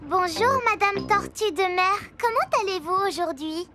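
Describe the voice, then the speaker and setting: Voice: very high voice, animated, moderate pace. An actor voicing a cheerful, curious little girl pig in a French children's cartoon dub, exclaiming in a childlike way. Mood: excited, curious. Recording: music behind the voice. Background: cartoon music.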